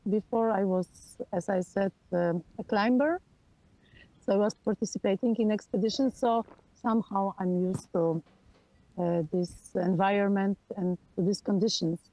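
A woman in her forties from Poland with a Polish accent, a film director talking over a video call from outdoors with an earphone mic, pausing often to search for words. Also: mid-range voice, dark tone, dry recording, poor audio. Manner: halting, searching for words with many fillers. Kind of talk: remote interview. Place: outdoors on a hillside.

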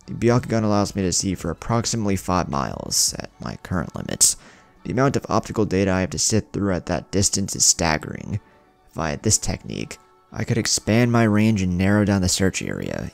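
A man's voice: deep voice, very animated, a little fast, creaky, faint music behind, full character voice. A man voicing an eager, studious young ninja in a storybook narration, earnest and explanatory. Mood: absorbed, explanatory.

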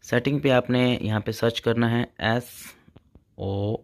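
A man's voice: mid-range voice, fairly even intonation, slow pace, dry recording. A young man hosting a tech channel, walking through phone features step by step and leaning on the same filler phrases over and over. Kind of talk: casual phone tutorial.

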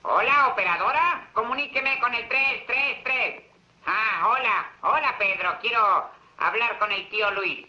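Very high-pitched cheeky cartoon rabbit. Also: male voice, unhurried, pushed and bright, full character voice. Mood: cheeky, indignant. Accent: Latin American Spanish. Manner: brash, mock-dramatic, teasing. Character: wisecracking male cartoon rabbit, cocky trickster. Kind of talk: theatrical cartoon dub acting. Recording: dull old cartoon soundtrack, roomy, music.

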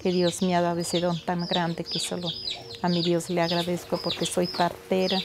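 A woman's voice: fairly low pitch, monotone, moderate pace, heavy background noise. An older woman from Colombia, a traditional weaver and midwife, telling her story plainly in a documentary interview.

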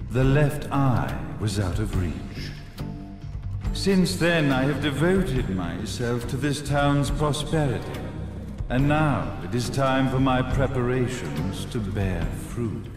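A man's voice: medium pitch, lively intonation, slow pace. An actor playing a grandiose, coolly menacing zealot sage in a video game, pompous and theatrical in his phrasing. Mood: solemn, zealous. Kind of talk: dramatic video game villain monologue. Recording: echoey hall, long reverb, music behind.

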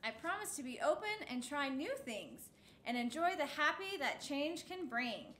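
Bright, high-voiced woman reading a storybook. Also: big pitch swings, normal speed. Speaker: storytime host reading a picture book. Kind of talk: read-aloud children's storytime. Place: indoor set with backdrop, seated. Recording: roomy echo, faint background noise.

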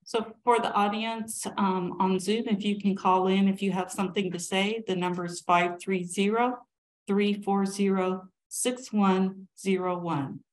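A woman's voice: mid-range voice, steady tone, measured pace, rough recording. Polite woman clerk making meeting announcements. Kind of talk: procedural announcements at a public meeting. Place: indoor council chamber.

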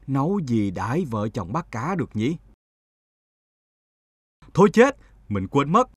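A man's voice: mid-range voice, fairly bright tone, husky, full character voice. A man voicing a superstitious, fretful and evasive village wife in a cartoon folktale.

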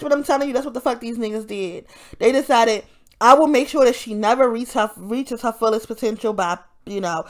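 A woman with a nasal, congested voice talking in a casual, rambling monologue, swearing often and breaking off into laughs. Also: mid-range voice. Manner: profane, rambling, frequent self-interrupting laughs. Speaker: vlogger.

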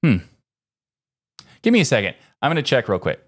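A man's voice: mid-range voice, wildly swooping pitch, fairly quick. A man in his thirties presenting a casual scripted tech explainer to camera, conversational with chatty personal asides, on a close studio mic. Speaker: tech video host. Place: small indoor home studio. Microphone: close broadcast mic on boom arm.

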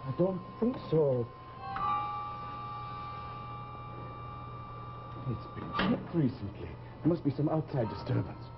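Middle-aged doctor, animated pitch. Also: male voice, loud music behind the voice. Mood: grave. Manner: formal, measured, polite. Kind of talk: 1950s live television drama acting. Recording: noisy, muffled old TV soundtrack.

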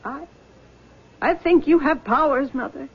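A woman's voice: high-pitched, measured pace, gravelly. An actress playing an anguished young nurse in a 1970s radio drama, her voice trembling and tearful. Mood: accusing. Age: young adult. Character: anguished young woman, a nurse, haunted and fragile. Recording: dull old radio broadcast.

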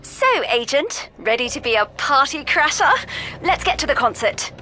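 A woman voicing a composed, articulate mission handler in a video game, with a measured, dryly amused manner. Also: high voice, lively intonation. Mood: wry, composed. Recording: music and game sounds behind.

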